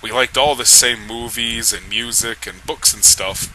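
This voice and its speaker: A man's voice: mid-range voice, steady tone, unhurried, bright, forceful tone, slight background noise, poor audio. A man giving a dramatic reading of amateur fan fiction, amused and often struggling to keep from laughing. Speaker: reader of a comedic fan fiction read-aloud.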